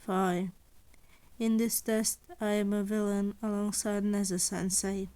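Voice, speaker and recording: A woman's voice: medium pitch, little pitch movement, unhurried, warm tone, clean tone, pitched below the performer's own voice, lightly acted. A woman voicing a sullen, tired teenage boy who answers tersely and reluctantly.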